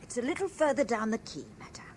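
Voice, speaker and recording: A woman's voice: a little high, big pitch swings, quick pace, darkish tone. A woman playing a helpful, cautious café server in a radio play. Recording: faintly noisy 1970s radio recording.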